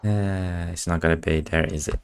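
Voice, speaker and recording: A man's voice: deep voice, steady tone, moderate pace, gravelly, a little hiss or hum. A man giving casual live playthrough commentary as a game streamer, with offhand, laconic reactions.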